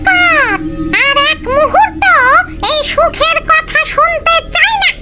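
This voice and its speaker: Cartoon witch: cruel, gloating, piercingly high, slow and menacing, slightly distant under loud music. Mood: furious. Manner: menacing, drawn-out, theatrical cursing.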